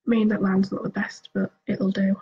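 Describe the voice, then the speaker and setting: A woman with a mid-range voice talks casually through her makeup, sniffly from a cold. She chats to her viewers with questions and drops into soft murmured asides while she concentrates. The audio sounds a bit dull and lo-fi. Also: medium pitch, level tone, moderate pace. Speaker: beauty vlogger.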